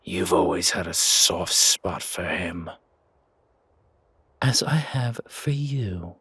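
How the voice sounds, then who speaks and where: A man's voice: low voice, lively intonation, takes it slowly, bright, forceful tone, slight background noise, heavily acted. A man voicing an imperious, scolding yet protective ancient deity in a fantasy audio roleplay, commanding and teasing. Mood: chiding, fond.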